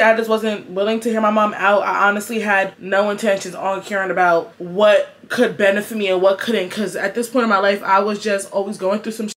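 A nineteen-year-old woman telling a casual storytime vlog in her bedroom, animated and slangy, jumping from loud bursts to low muttered asides. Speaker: vlogger. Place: small bedroom, indoors.

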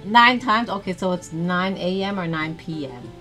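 A woman's voice: on the low side, expressive, normal speed. A woman in her thirties chatting casually as a mother playing a game with a young child, exclaiming, encouraging and asking simple questions. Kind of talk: casual play-along chat. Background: music.

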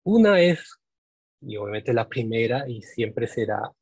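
A man's voice: medium pitch, very animated, measured pace, a little mellow, husky, dry recording. A man in his forties with a Peruvian Spanish accent, a medical teacher giving an earnest, reflective speech to graduating students, often adding tag questions. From Peru. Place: indoors, conference room. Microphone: podium microphone.

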